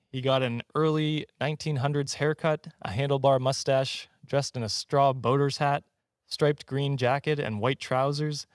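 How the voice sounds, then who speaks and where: A man's voice: mid-range voice, no echo, studio quality. A man hosting a podcast countdown, telling stories in a casual comedic way with a self-deprecating, jokey manner and frequent laughing. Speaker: podcast host presenting a countdown.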